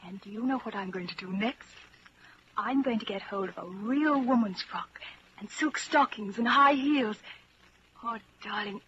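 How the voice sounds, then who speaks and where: An actress playing a bold, affectionate young woman and secret lover in a 1940s radio drama, tender and lively. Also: mid-range voice, moderate pace, lightly acted. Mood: tender, intimate. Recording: muffled, noisy 1940s radio recording.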